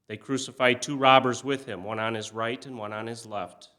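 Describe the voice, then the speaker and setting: Male scripture reader, bright forceful voice at a middling pitch. Measured, solemn church delivery with dramatic emphasis. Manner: measured, expressive, emphatic on quoted cries, falling away softly at phrase ends. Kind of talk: solemn dramatic Bible reading for a church service.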